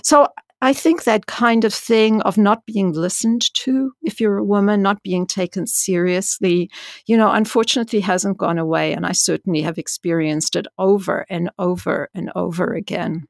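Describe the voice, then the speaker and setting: A woman who is a dean and scientist, telling her story frankly in an interview with a loud voice, peppered with "you know" and rising for emphasis. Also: mid-range voice, steady tone, moderate pace, creaky, no room sound, clean studio sound.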